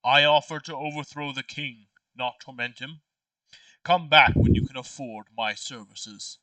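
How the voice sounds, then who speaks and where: A man's voice: mid-range voice, unhurried, pushed and bright, no echo, far from the mic, low-quality recording. A man playing a party game with friends, switching between casual remarks and reading character lines aloud. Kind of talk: casual tabletop play with in-character line readings.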